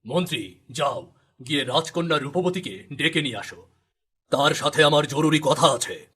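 A man voicing a grave, authoritative king and father in a storybook cartoon, with a solemn, slightly husky voice. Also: a little high, animated, moderate pace, fairly bright tone. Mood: commanding. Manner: commanding, solemn.

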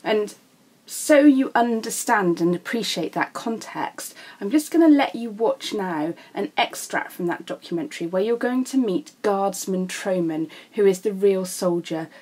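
A woman's voice: medium pitch, expressive, a little slow, darkish tone, slightly rough. A woman with a British accent, an English teacher explaining step by step in a narrated video lesson. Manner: explanatory, signposting each step.

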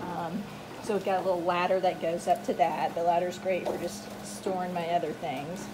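A woman's voice: lowish voice, steady tone, moderate pace, noisy background. A woman narrating a casual walkthrough video tour as a farm owner, recorded on a handheld phone in a wooden barn. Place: wooden goat barn with open doors. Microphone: handheld phone, behind the camera.

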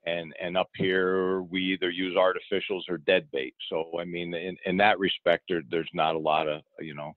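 A man's voice: low-pitched, little pitch movement, moderate pace, few pauses, no echo. A middle-aged man, a fishing guide, answering interview questions in an easygoing way, often repeating words and restarting his sentences. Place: outdoors in a boat on the water. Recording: tinny phone-like line.

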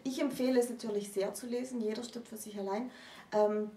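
Woman, 30s, co-host lecturing informally; relaxed dark tone, deliberate pauses, mic some way off. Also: a little high. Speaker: co-host explaining literature. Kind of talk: unscripted explanatory lecture. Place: small book-lined room indoors. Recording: roomy, distant microphone.

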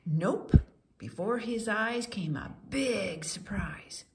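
A woman's voice: mid-range voice, expressive, takes it slowly, heavy background noise. A woman reading a children's storybook aloud with theatrical, sing-song emphasis, as a storyteller does for young listeners. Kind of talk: expressive read-aloud storybook narration.

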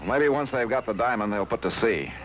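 A man's voice: fairly low pitch, animated, brisk, dull audio, slight background noise. A man playing a resolute middle-aged cartoon sea captain, commanding and plain-spoken. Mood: determined. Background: loud background music.

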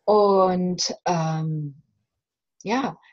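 A woman in her fifties from West Germany, talking emphatically and haltingly as a coach being interviewed on a video call. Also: fairly low pitch, no echo. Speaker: separation coach, interview guest. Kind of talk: reflective interview conversation. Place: room at home. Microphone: video call, computer microphone. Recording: muffled video-call audio.

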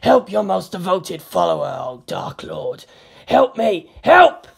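Casting: woman as bloodthirsty male dark-god warrior for an audio story, menacing, melodramatic, drawn-out delivery. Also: medium pitch, big pitch swings, gravelly, husky, full character voice. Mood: frantic. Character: hissing bloodthirsty male Chaos Marine figurine, ranting.

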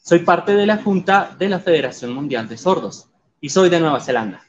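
A man voicing guests' introductions in live interpretation, even and measured. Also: fairly high pitch, slight background noise. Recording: slightly muffled audio.

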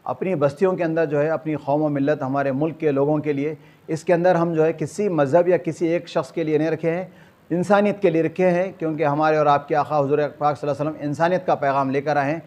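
A man's voice: on the high side, fairly even intonation, fast, a little mellow. An older man with a Hyderabadi accent, a community organiser making a formal address to camera with repeated filler phrases. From Hyderabad, India. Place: small clinic room, indoors.

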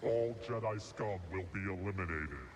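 A man's voice: deep voice, moderate pace, big-room sound, slight background noise. A man voicing a dutiful, literal-minded battle droid in a video game, stiff and formal through a robot filter. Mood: stern, deadpan. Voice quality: electronic, metallic. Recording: faint game ambience behind.

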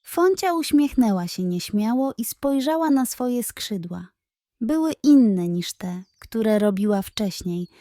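Slow, dry-sounding woman storyteller. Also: medium pitch, warm tone, dry recording. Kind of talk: scripted narration of a children's bedtime story.

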